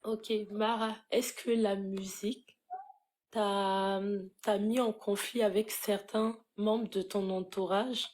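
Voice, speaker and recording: Woman co-host, slow delivery, friendly teasing between questions. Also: mid-range voice, little pitch movement, darkish tone. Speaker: interviewer. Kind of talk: interview questions.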